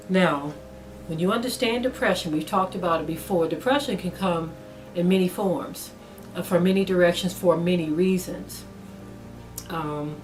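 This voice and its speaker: A middle-aged woman delivers a spiritual teaching in a low, measured voice. She stops often to gather her thoughts with small ums. Soft music plays faintly behind her. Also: low-quality recording. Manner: measured, with frequent pauses and ums. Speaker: Bible teacher.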